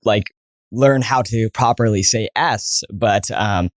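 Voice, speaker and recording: A man's voice: lowish voice, slow pace, no echo, studio quality. A young man in his twenties with an American accent, telling stories as an interview guest, full of fillers and restarts. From born in Saudi Arabia, raised partly in New Jersey. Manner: many fillers and restarts, storytelling. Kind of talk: casual interview talk.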